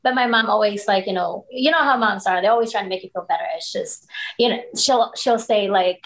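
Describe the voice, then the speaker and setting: Woman, thirties, mid pitch, candid, chatty, lots of likes. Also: fairly quick, a bit bright. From Philippines. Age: 30s. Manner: candid, storytelling, frequent likes and you knows. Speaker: podcast guest. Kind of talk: casual interview conversation.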